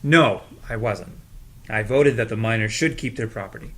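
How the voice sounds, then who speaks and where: A man's voice: on the low side, moderate pace, fairly bright tone, close to an everyday voice. A man acting an eager, trusting young man, playful and a little naive, in an audio drama read. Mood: hesitant, guarded.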